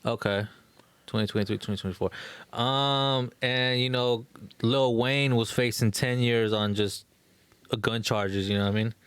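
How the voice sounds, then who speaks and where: A man's voice: medium pitch, fairly even intonation, moderate pace, a bit bright, smooth, no room sound. Male podcast co-host, young adult, loose slangy talk, little room sound. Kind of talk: casual chat, slangy.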